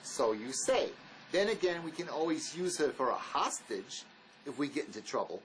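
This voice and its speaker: An actor playing a wary, blunt escaped convict in a drama, speaking in an argumentative, insistent manner. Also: male voice, on the high side, sing-song, normal speed, slight background noise, low-quality recording. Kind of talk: dramatic dialogue reading.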